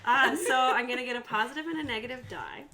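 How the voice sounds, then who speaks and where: A woman's voice: sits high, fast, a loud crowd. A woman narrating a tabletop game casually as game master, hesitant and thinking aloud as she goes.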